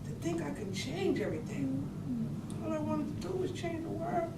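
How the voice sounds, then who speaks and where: A woman's voice: sits high, animated, moderate pace. A woman acting a wistful, disillusioned idealist activist in an earnest, emotional staged monologue. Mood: regretful, hurt. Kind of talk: staged reading of dramatic monologue. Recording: distant, echoey, very noisy room.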